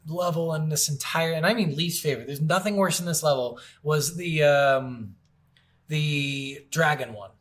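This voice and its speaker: Guy w pretty high voice on a game podcast, sweary casual ranting, exasperated. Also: moderate pace. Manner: acts out his reactions in mock quotes. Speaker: podcast host reviewing video games. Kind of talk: casual, unscripted chat.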